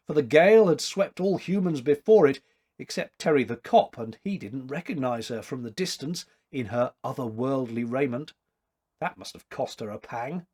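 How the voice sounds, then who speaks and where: A man in his fifties reading a literary story aloud with expressive narration. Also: mid-range voice. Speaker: story reader. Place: small domestic room indoors.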